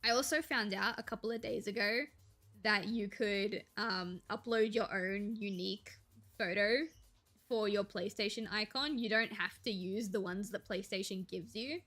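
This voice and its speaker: A young woman, chatting casually and rambling to viewers on a live stream, with a slight gravel in her voice when she talks quietly. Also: medium pitch, moderate pace, soft background music. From Australia. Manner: chatty, rambling, laughs easily, thinks aloud.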